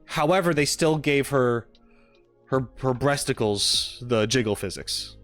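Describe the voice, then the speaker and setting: A man's voice: medium pitch, a little slow, a bit bright, soft background music. A man chatting casually on a livestream as the streamer, in an informal, rambling way with joking asides. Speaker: live streamer reacting and chatting with viewers.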